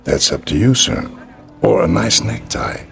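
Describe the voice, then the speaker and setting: An actor playing an elderly, courteous and cryptic peddler in a vintage radio drama, speaking in evasive riddles. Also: male voice, lowish voice, animated, unhurried, vocal fry, heavily acted. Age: older. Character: mysterious elderly peddler, gentle, cryptic old man.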